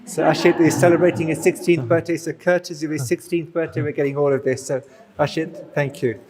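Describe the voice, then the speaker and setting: Quiet-voiced man giving announcements. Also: fairly high pitch, steady tone, fairly quick, a crowd in the background. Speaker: event organizer giving thanks. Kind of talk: announcements to a gathering. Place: community hall.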